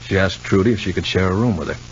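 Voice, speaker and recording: An actor playing a worried, earnest brother-in-law in a 1950s radio soap, hesitant and conversational. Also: male voice, deep voice, rapid-fire, close to an everyday voice. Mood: earnest worry. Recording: muffled, noisy old radio recording.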